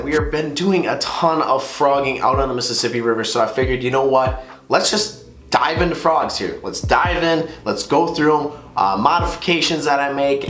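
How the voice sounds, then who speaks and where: A man's voice: medium pitch, fairly bright tone, echoey room. A man hosting a fishing video, talking casually to camera with a slightly nasal voice from a cold, rambling with many fillers. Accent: Upper Midwest American. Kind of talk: casual how-to talk to camera.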